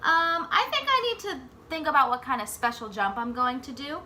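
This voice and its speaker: A woman's voice: sits high, very animated, moderate pace, sharp tone, a little hiss or hum, exaggerated voice. A woman voicing a little boy in a storybook, with an eager, slightly hesitant manner. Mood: nervous. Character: nervous little boy putting off a brave jump. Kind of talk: storybook character voice.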